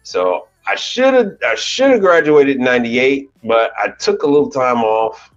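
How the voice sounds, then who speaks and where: A man's voice: medium pitch, sing-song, moderate pace. Forties guy rambling casual on a live stream, cusses a bit, dull lo-fi sound, faint music behind. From United States. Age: 40s. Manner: rambling, casual, swears lightly, restarts often. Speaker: commercial appliance repair technician and channel host.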